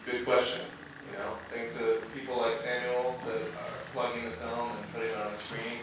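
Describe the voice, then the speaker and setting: A man, a filmmaker, fielding audience questions with informal, halting, unscripted replies. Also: medium pitch, monotone. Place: movie theater stage Q&A. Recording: echoey theater, distant mic, clear noise.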